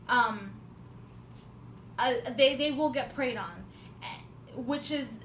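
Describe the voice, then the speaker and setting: A high-pitched woman in her twenties talks in a loose, rambling vlog, full of fillers and restarts. She swears now and then for emphasis. The room sounds echoey, with a faint hiss of noise behind her. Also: fairly bright tone. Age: 20s. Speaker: vlogger. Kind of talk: casual spiritual talk to camera.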